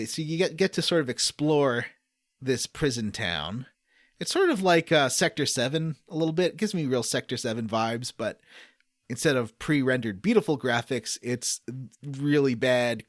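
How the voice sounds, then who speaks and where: A man's voice: mid-range voice, no room sound, studio quality. A man chatting casually as a podcast host about games, jokey and acting out characters' lines as he recaps. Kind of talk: casual gaming podcast chat.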